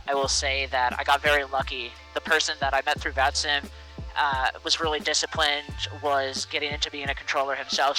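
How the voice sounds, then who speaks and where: A young man talks as a guest in a casual interview, with a sharp, very bright voice. He is earnest and encouraging, often repeating a phrase for emphasis. There is faint music behind him. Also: medium pitch, rough recording. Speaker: interview guest, air traffic controller. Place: small aircraft cockpit in flight.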